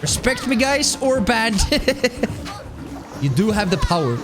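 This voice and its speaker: A man with a light, high voice chats animatedly through a live game stream. His pitch jumps up and down as he rambles and laughs, and music plays behind him. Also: very animated, takes it slowly. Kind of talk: casual live-stream chat while playing. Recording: music playing underneath.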